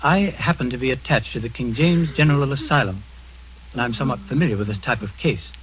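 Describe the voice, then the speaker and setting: An actor playing a composed middle-aged man posing as a doctor, speaking with calm, measured, clinical authority in a vintage radio drama. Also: medium pitch, crowd noise, natural voice, rough recording. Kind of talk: 1940s radio acting.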